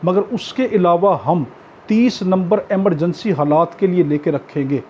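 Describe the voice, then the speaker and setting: A man's voice: high-pitched, measured pace, a little mellow. A man with a Pakistani accent teaching as a tutor, explaining instructively to students and repeating key points for emphasis. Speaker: law exam tutor. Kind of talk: informal lecture to students. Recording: dull lo-fi audio with background noise.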